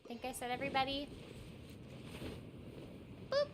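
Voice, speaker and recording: A woman's voice: sits high, very animated, normal speed, noisy background. A woman hosting a casual game livestream, chatting playfully with her viewers as she plays. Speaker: game livestream host, voice actor. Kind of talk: casual livestream chat while playing a game. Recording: game music behind the voice. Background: video game music.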